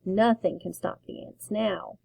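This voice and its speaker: Brief: teacher reading aloud to kids, slow and expressive, mellow voice, dry close sound. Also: female voice, mid-range voice, creaky, slightly rough, no echo. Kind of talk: children's read-aloud.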